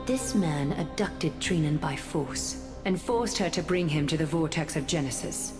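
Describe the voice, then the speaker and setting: Low, cold regal leader. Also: female voice, lively intonation, a little slow, music in the background. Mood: cool, accusing. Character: cold, regal woman leader passing stern judgment. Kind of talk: dubbed anime game dialogue.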